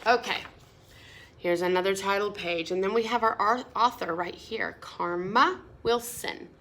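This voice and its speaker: A woman reading a picture book aloud to children in a sing-song, expressive way, pausing to explain words and ask questions. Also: medium pitch. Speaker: storybook reader.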